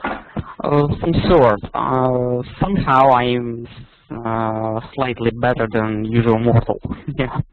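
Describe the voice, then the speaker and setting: A young man with a Russian accent, a university student, chatting hesitantly with many pauses and fillers. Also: mid-range voice, steady tone. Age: young adult. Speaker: language student. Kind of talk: casual online conversation. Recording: tinny online call audio with faint noise.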